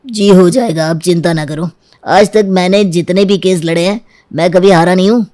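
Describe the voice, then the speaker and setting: Meddling male lawyer performed by a woman, smug, smooth-talking insinuation for an audio story. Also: fairly low pitch, normal speed, mellow, lightly acted. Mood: confident, reassuring. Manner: reassuring, insinuating. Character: smug, meddling male divorce lawyer egging on his client.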